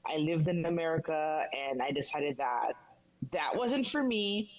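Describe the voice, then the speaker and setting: A woman's voice: low voice, animated, unhurried, no room sound, muffled recording. A middle-aged woman from Haiti, speaking earnestly as an interview guest and growing firm and emphatic. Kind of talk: casual interview talk.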